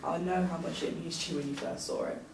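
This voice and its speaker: A woman's voice: deep voice, steady tone, quick pace. A woman reading the part of a caring, teasing young friend at a drama table read, recorded at a distance in an echoey, noisy room. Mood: earnest.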